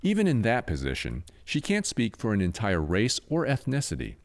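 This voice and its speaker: Male American news reporter, low voice, very varied intonation, scripted narration over music. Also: wildly swooping pitch, normal speed.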